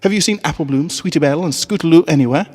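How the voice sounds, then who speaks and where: Guy doing a worried lady pony teacher for a storybook, high and fretful, echoey. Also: racing, a little mellow, natural voice. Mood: panicked, distraught. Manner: fretful, earnest. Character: anxious, motherly female pony schoolteacher.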